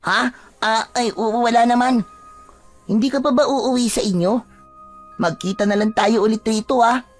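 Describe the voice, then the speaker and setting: A woman's voice: a little high, normal speed, pauses often, soft background music, natural voice. A woman voicing an earnest, secretive young boy in a dramatized story. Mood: earnest, guarded. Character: young boy, secretive, earnest friend who warns of curses.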